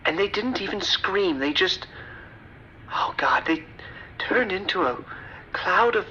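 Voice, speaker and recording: A woman plays a horrified maître d' phoning for help in an audio drama. The voice is bright and mid-pitched, formal yet halting and distraught, over faint noise. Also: animated. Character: horrified restaurant maître d' calling emergency services. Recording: noisy, dull radio-like call audio.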